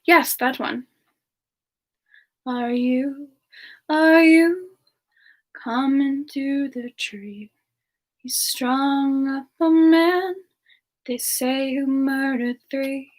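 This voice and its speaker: A woman plays a young frost demoness with a high, soft voice. She talks slowly and hesitantly, with a sweet, affectionate temper, as if chatting gently with viewers on an in-character ASMR stream. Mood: soft, wistful. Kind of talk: semi-ASMR in-character live Q&A.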